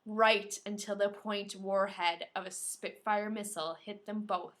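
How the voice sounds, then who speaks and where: A woman's voice: mid-range voice, fairly even intonation, measured pace, a bit bright. A woman co-hosting a podcast, speaking informally and playfully, with a dramatic flair when reading aloud. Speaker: podcast co-host. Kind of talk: reading a novel aloud with casual banter.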